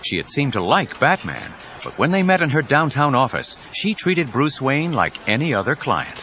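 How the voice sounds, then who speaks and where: A man's voice: mid-range voice, sing-song, moderate pace, sharp tone, a little hiss or hum. A man narrating an audio drama in an emphatic, suspenseful storytelling style. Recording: dull 1990s cassette audio with music.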